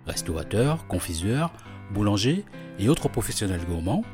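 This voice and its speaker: A man's voice: fairly low pitch, sing-song, moderate pace, over music. A man hosting a food podcast, who narrates and interviews in a friendly, curious way. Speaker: host.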